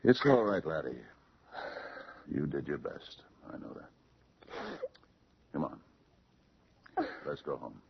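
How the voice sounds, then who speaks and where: A man's voice: rumbling low, big pitch swings, slow, gravelly, long pauses, slight background noise, exaggerated voice. An actor playing a menacing, controlling man in a radio drama, cold and commanding. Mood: soothing. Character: menacing, controlling man who styles himself master. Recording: dull vintage radio recording.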